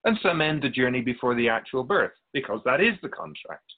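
Man playing a wise spirit, lively and husky. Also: mid-range voice, a little fast, narrow, tinny audio. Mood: patient, explanatory. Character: Keeper of Souls' Purpose, wise otherworldly spirit, patient and curious. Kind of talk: radio drama dialogue.

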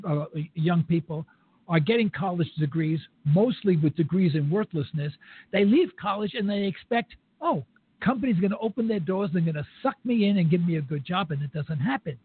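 A man's voice: high-pitched, slightly rough. An older man interviewing a guest in an opinionated, rambling way, chuckling as he goes. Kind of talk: conversational interview. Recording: tinny phone line.

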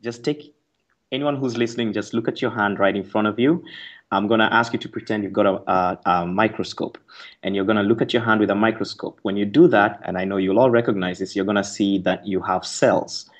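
A man's voice: on the low side, fairly quick, muffled recording. A man from Kenya, an author, explaining his ideas as an interview guest in a thoughtful way with fillers and restarts. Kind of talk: radio interview conversation.

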